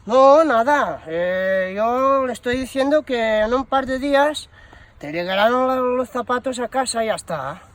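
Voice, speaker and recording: A man's voice: extremely high pitch, lively intonation, unhurried, fairly bright tone, no room sound, heavily acted. A man playing a bumbling shoe shop promotions employee on a prank call, hesitant and rambling, full of fillers and slang. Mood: cheeky, deadpan. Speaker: prank caller. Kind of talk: improvised prank phone call.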